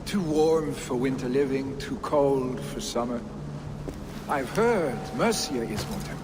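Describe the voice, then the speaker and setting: An actor playing a brash, carefree young Viking warrior in a video game cutscene, boastful and defiant. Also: male voice, medium pitch, expressive, measured pace, natural voice. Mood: evasive. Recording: noisy game ambience behind dialogue.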